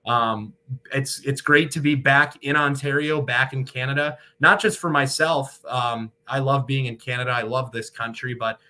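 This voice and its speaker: Bright-voiced American male sportscaster. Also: mid-range voice, normal speed. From Michigan, United States. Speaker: sportscaster, radio play-by-play broadcaster, interview guest. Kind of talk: casual interview talk.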